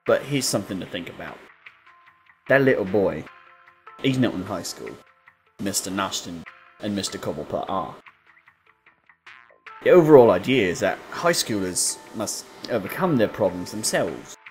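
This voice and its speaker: A man playing an older butler in an audio drama, formal, measured and deferential as he gives patient advice. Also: lowish voice, lively intonation, faint music behind, natural voice. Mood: reflective, advising. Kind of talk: amateur audio drama acting.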